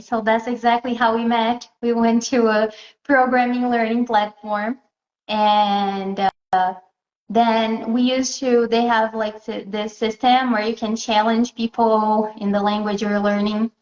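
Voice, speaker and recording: A woman answers an interviewer's questions at a slow pace, stopping often to search for words. Her voice sits a little high. It reaches us through a muffled recording, and the room is echoey. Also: fairly even intonation. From Southeast Brazil. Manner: hesitant, pauses often to find words. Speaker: interview guest.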